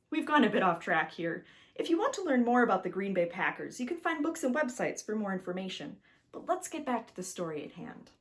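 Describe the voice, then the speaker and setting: A woman narrating a children's storybook as its author, in an expressive, emphatic way that speaks directly to the listener. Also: medium pitch.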